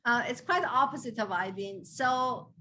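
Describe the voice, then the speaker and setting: A woman's voice: on the high side, moderate pace, sharp tone, a little hiss or hum. A woman who founded a dance theatre, raised abroad and settled in Canada as a teenager, telling her story on a video call in an earnest, heartfelt way. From Armenian heritage, raised in an Islamic country, moved to Canada as a teenager. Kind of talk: interview storytelling.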